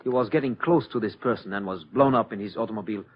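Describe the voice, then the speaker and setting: An actor playing a formal, careful Turkish intelligence assistant in an old radio spy drama, with stiff, measured wording. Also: male voice, fairly low pitch, close to an everyday voice. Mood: formal, guarded. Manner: formal, measured, avoids contractions. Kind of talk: 1950s radio drama acting. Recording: dull, noisy vintage radio recording.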